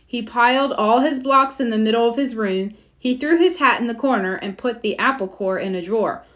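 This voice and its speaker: A woman narrating a children's storybook aloud in an expressive read-aloud style. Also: fairly high pitch, fairly quick. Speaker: storybook reader.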